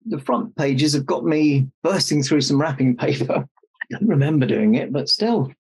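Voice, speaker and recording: A man's voice: fairly high pitch, lively intonation, moderate pace, darkish tone, husky, poor audio. A man playing Santa Claus, a jolly old man reading a children's storybook aloud with jokey, mock-indignant asides. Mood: playful.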